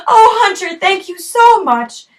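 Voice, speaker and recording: A woman voicing a desperate young village woman in a folk tale, pleading in a high, tearful voice. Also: animated, takes it slowly, a bit bright, heavily acted. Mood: grateful.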